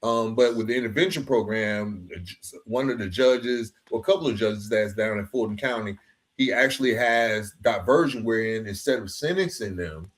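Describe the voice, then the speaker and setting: A man's voice: on the low side, normal speed. Informal, earnest man hosting a podcast. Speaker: podcast host. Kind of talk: casual podcast conversation.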